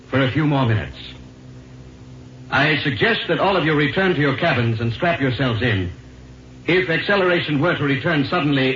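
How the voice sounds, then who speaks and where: A man's voice: a little high, a bit bright. An American man playing a weary, resolute spaceship captain in 1950s radio drama, clipped and commanding. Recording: muffled, noisy 1950s radio recording.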